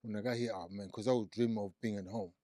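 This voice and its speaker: A man's voice: lowish voice, steady tone, quick pace, mellow, dry recording, clean studio sound. A man in his forties from Zimbabwe, speaking as a guest telling his life story in a casual interview, often repeating words and restarting. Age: 40s. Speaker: guest, psychiatric nurse practitioner.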